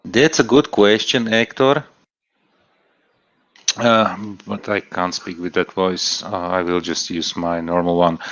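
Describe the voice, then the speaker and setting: A man's voice: low-pitched, fairly even intonation, slow, a bit bright, dry recording. A man from Slovakia chatting casually on a stream, answering a chat question with many fillers and restarts. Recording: dull lo-fi stream audio.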